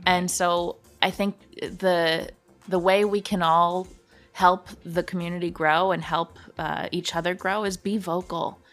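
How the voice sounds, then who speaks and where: Woman podcast guest, lowish voice with strong vocal fry, earnest and thoughtful. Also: flat delivery, a little slow, fairly bright tone. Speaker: podcast guest, audio drama creator. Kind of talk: earnest conversational interview answers.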